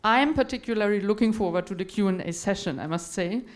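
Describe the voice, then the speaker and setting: A woman's voice: mid-range voice, fast talker. A woman from Europe moderating a conference panel, giving formal, courteous introductions of the speakers. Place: conference hall.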